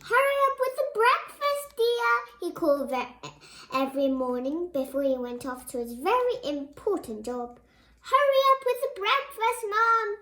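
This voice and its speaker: A child reading a picture book aloud in an expressive way, with spontaneous exclaiming side comments. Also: high voice. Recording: roomy, echoing home recording.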